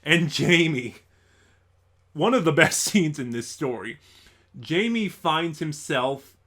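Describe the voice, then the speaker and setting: A man's voice: fairly high pitch, expressive, slow, a bit bright. A man giving a casual, unscripted review as an online reviewer, rambling and thinking aloud in a stop-and-start way.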